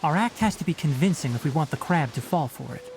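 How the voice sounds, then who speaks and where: An actor playing a sharp young male forest watcher and scholar, speaking in a precise, analytical, matter-of-fact way, with game music behind him. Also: on the high side, expressive, quick pace. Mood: calm. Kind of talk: game voice acting. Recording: game audio with clear background music.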